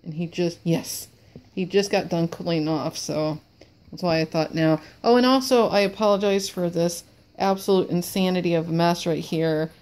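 A woman's voice: lowish voice, fairly even intonation, moderate pace, darkish tone. A woman who sniffles as she talks, chatting apologetically and rambling while training her service dog at home. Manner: apologetic, rambling, repeats words. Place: cluttered room at home with wood floor.

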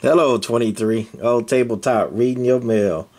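A man's voice: medium pitch, normal speed, darkish tone, slight background noise. A man chatting jokily over CB radio, stammering and repeating words as he goes. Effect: CB radio transmission. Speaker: CB radio operator. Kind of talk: casual joking radio chat.

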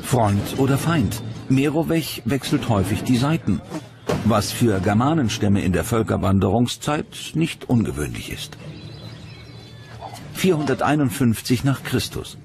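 A man reading scripted documentary narration in a measured, dramatic storytelling manner. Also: medium pitch, sing-song. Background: music under the narration.

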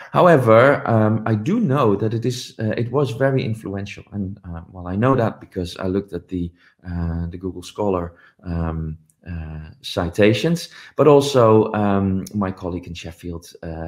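A man's voice: on the low side, darkish tone. A middle-aged man giving a commemorative conference talk over a video call, telling stories with many ums and uhs. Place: home office, indoors. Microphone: video call webcam.

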